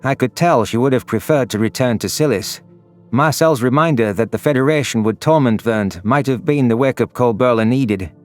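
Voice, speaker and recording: A man reads first-person science fiction narration in a medium-pitched voice. Faint music plays behind his scripted storytelling. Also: normal speed. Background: background music.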